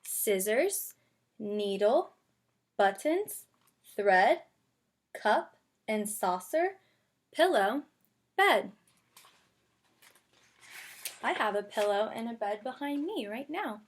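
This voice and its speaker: A woman's voice: a little high, sing-song, slow, long pauses. A woman kindergarten teacher giving a read-aloud lesson, speaking simply and instructively and addressing young children directly. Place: bedroom at home.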